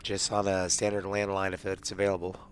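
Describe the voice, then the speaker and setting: A man with a very deep voice and little melody talks through plans in a tabletop game. He is laconic and plain-spoken, recorded with some background noise. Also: completely flat delivery, a little fast. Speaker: tabletop roleplaying player. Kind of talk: in-character game discussion.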